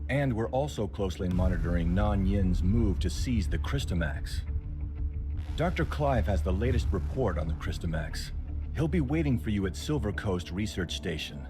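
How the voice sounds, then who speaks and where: An actor playing a grave, formal senior commander giving a measured briefing in an anime game. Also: male voice, sits low, animated. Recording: background music under the voice.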